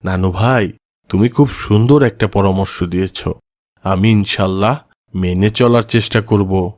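A man's voice: lowish voice, unhurried, darkish tone, no echo, lightly acted. A man voicing a kindly, good-humoured old grandfather in a cartoon moral story. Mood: gentle.

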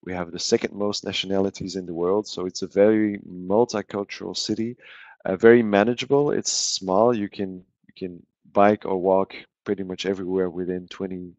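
A man's voice: low voice, little pitch movement, normal speed, darkish tone, no echo. A man, hosting a live university webinar over a headset, hesitant with many fillers and restarts. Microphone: headset on a video call. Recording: dry, dull lo-fi call audio.

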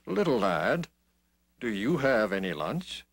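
A kindly grown disciple, voiced by a man speaks slowly and invitingly. His lines have lively pitch movement and a gentle storybook manner. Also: breathy edge, no room sound, full character voice. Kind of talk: children's Bible storybook.

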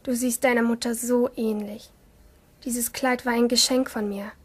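A woman's voice: on the high side, little pitch movement, moderate pace, darkish tone, slightly rough, dry recording. A woman playing a rebellious, lonely teenage girl who narrates her thoughts intimately in a German radio play. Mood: hesitant, nervous.

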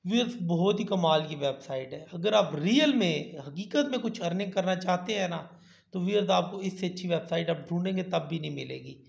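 Male host, tutorial voice-over, high and gravelly, echoey lo-fi sound. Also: lively intonation, a little fast. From Pakistan. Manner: repeats "theek hai" often, turns persuasive and emphatic toward the end. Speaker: channel host. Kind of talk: screen-recorded tutorial talk.

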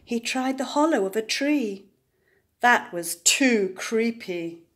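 An older woman reads a children's picture book aloud at a slow, careful pace. Her pitch rises and falls widely, putting dramatic emphasis on key words for young listeners. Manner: deliberate, expressive storytelling with dramatic emphasis. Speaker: children's story reader.

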